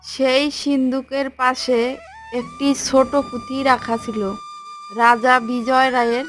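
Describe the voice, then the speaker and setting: A woman narrates a scripted fairy tale for a cartoon. Her voice is high, and she takes her time in a measured storytelling delivery, with music playing behind her. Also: little pitch movement, fairly bright tone.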